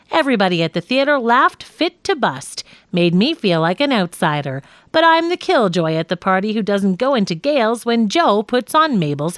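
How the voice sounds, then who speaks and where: Female audiobook narrator, lively pitch, measured nonfiction read, dry booth. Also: no echo.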